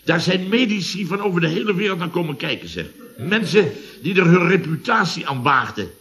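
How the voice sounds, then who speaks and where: An actor playing a grumbling, sarcastic middle-aged builder boss, blustering and exasperated, in 1970s Dutch radio comedy style. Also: male voice, high voice, expressive, a little fast, fairly bright tone. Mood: indignant.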